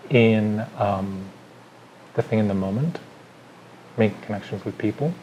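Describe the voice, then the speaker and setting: A middle-aged man from Chile talks through his ideas as an artist in an interview. His voice sits low, and he pauses often with fillers as he lists his thoughts one by one. A faint hum of room ambience runs behind him. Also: darkish tone, poor audio.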